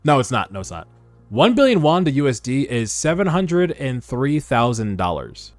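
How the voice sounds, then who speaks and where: A man giving animated, slangy, sometimes profane reaction commentary as host of a read-along. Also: mid-range voice, normal speed, dry recording. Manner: casual, slangy, profane, excitable. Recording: background music under voice. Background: music.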